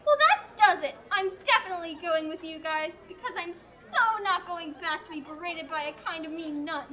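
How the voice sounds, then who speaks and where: A woman's voice: extremely high pitch, normal speed, pushed and bright. A teenage actress playing a naive, flustered young scribe raised in a nunnery, rambling and stumbling over her words. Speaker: student actor. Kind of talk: amateur radio play acting.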